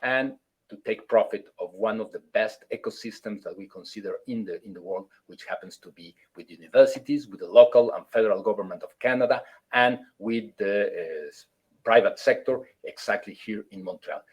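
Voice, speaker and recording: A man, a consulate official, giving formal opening remarks at a webinar over a video call, halting and full of fillers. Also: lowish voice, husky, dull audio. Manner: halting, many fillers and restarts, formal phrasing.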